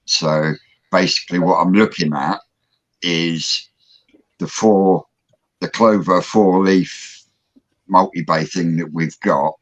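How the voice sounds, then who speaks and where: A man's voice: low voice, steady tone, takes it slowly, pauses often, dry recording. A man hosting a casual livestream, explaining technical projects at length with many fillers and restarts, over a video call. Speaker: host. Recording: dull lo-fi audio.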